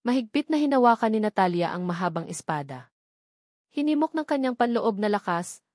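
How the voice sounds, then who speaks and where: A woman's voice: medium pitch, a little mellow, no room sound. Woman narrating, dry close sound. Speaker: audiobook narrator. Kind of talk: scripted narration of a fantasy novel.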